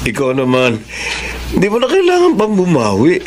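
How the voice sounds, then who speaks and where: A man's voice: a little high, huge pitch swings, moderate pace, darkish tone, slight background noise. A man playing a decent, faithful husband in his thirties in an audio melodrama, earnest, polite and hesitant when uneasy. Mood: warm, humble. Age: 30s. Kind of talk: melodramatic audio drama acting.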